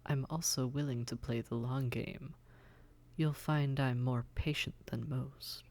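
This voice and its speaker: Haughty demon prince for audio roleplay, male actor: slow, refined, gravelly, condescending, seductive, often near a whisper. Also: mid-range voice, fairly even intonation, darkish tone, natural voice. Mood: soft, coaxing. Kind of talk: scripted roleplay audio drama, addressed to listener.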